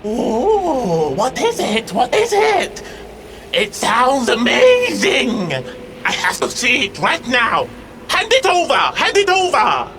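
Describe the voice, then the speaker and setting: A woman's voice: a little high, wildly swooping pitch, slow pace, background noise, heavily acted. A woman voicing a haughty, greedy and volatile female fiend in a video game, with a theatrical, taunting delivery. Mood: greedy excitement. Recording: game music under the voice.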